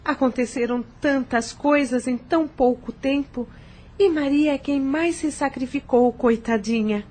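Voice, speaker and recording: Loyal companion, played by a woman: humble, protective, worried, notably high register, audio drama. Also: measured pace, darkish tone. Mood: apologetic. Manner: respectful, pleading.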